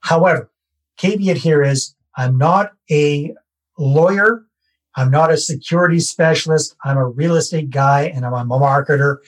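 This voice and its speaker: A Canadian man, a real estate investor, talking through his process in a relaxed podcast interview and repeating his key points. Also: fairly high pitch, moderate pace. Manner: conversational, self-deprecating, repeats key phrases.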